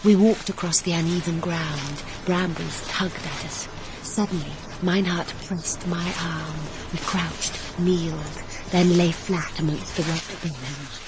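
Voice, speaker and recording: A woman's voice: lowish voice, fairly even intonation, slow pace, noisy background. An actress playing a passionate young woman in love in a radio drama, intimate and emotional as she speaks and narrates her romance. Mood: reflective. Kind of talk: radio drama acting with first-person narration.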